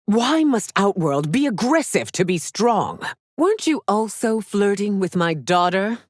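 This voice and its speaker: An actress playing a haughty, regal, middle-aged warrior empress in a video game, speaking formally and imperiously with theatrical diction. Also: female voice, mid-range voice, sing-song, measured pace, dry recording, clean studio sound. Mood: stern. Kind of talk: video game character voice lines.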